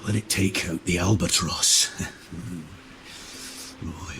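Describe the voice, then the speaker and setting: An actor playing a jovial, theatrical pirate ship captain in a video game, with a playful, roguish manner. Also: male voice, low-pitched, fairly even intonation, slow pace, music in the background. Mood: breezy, confident. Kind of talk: video game character acting.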